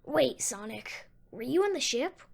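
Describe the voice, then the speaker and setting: Woman playing a high creaky young fox boy. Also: lively intonation, measured pace, exaggerated voice. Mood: surprised, puzzled. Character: earnest, clever young boy fox sidekick. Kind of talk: fan audio drama acting.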